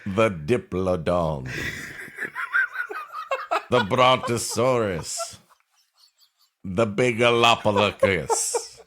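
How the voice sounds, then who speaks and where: A man with a fairly low voice and an unhurried, slow pace. He riffs casually as a comedy co-host, offering wry commentary and banter. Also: a bit bright. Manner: wry, riffing.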